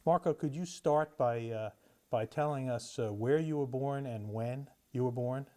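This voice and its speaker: A man's voice: medium pitch, unhurried, dark tone. A man interviewing a veteran for an oral history, asking friendly questions with short, encouraging replies.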